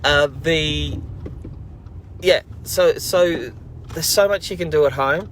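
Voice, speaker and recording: A man's voice: fairly high pitch, a bit bright, slight background noise. A man, hosting a children's learning program and chatting casually to camera in an upbeat, rambling, encouraging way.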